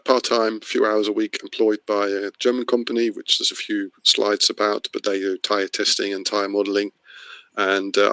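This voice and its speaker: A man's voice: lowish voice, fairly bright tone, no room sound, poor audio. A middle-aged man from the Netherlands presenting a webinar, explaining informally and a little hesitantly as he goes. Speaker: course presenter. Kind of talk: webinar introduction, spoken off the cuff. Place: online video meeting.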